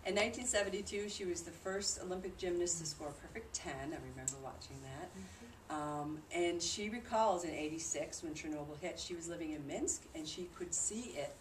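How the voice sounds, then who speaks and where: A woman giving an informal presentation about a trip to a group, explaining things in a conversational way. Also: deep voice. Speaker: presenter reporting on a charity trip. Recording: distant mic in noisy, echoey room.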